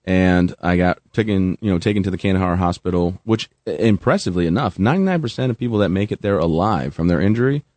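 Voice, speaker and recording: A man's voice: deep voice, fast, hardly any pauses, a little mellow, no echo. A man in his thirties, a veteran guest telling his story with self-mocking humor. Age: 30s. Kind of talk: joking then earnest interview talk.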